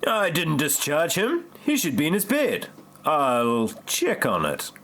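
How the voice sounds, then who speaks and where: A man's voice: a little high, wildly swooping pitch, measured pace, heavy background noise, full character voice. A man playing a flippant hospital doctor in a parody audio play, mock-grave and wry. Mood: puzzled.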